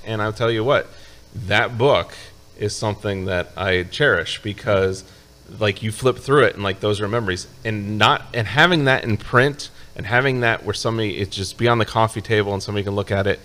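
Easygoing male host, low voice. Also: fairly quick, a bit bright. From upstate New York. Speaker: show host. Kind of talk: casual live show chat.

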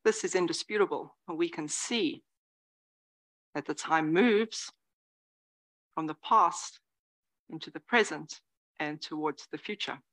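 A woman in her forties giving a lively, conversational dharma talk as a Zen teacher, dropping now and then into soft whispered asides. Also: deep voice, a little slow, pauses often, poor audio. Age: 40s. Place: meditation hall before a live audience.

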